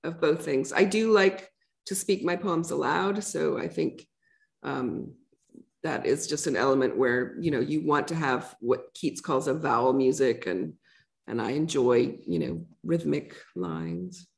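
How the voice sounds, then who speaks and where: A middle-aged woman with a slightly low voice answers questions after a poetry reading. She talks in a reflective, conversational way, working her thoughts out aloud in a lecture room. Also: measured pace, vocal fry. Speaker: poet. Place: lecture room with a projection screen.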